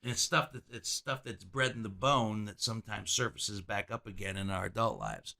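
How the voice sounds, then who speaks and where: A man's voice: lowish voice, lively intonation, normal speed, creaky, bright, forceful tone. A middle-aged man hosting an interview, asking probing, reflective questions with some stammering restarts. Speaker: host.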